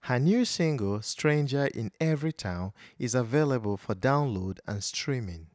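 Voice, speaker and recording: Male podcast host, dry close sound, animated pitch, laughs easily. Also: normal speed, dry recording. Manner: chuckling often, supportive backchannels. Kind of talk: casual interview chat.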